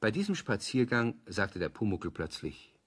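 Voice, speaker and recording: A man's voice: on the low side, sing-song, moderate pace, breathy edge. A man narrating a children's audio play as a scripted storyteller.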